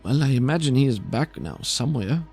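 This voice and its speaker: A man playing a measured female medical examiner in a tabletop game, speaking haltingly and with careful formality. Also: medium pitch, lively intonation, creaky, a little mellow, slightly rough, soft background music. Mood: puzzled, measured. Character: measured, puzzled female medical examiner reporting autopsy findings.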